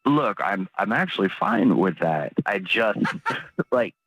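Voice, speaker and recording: A man calling in to a radio show, talking casually in a halting, apologetic way. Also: on the low side, very animated, vocal fry, fairly bright tone, slightly rough, no echo. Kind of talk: hesitant casual phone talk. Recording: dull, lo-fi phone call.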